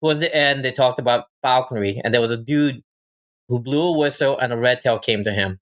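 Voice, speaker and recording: A man's voice: medium pitch, a little fast. Casual man recounting his own experiences. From Thailand. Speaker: podcast guest, falconer. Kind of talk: casual personal storytelling and chat.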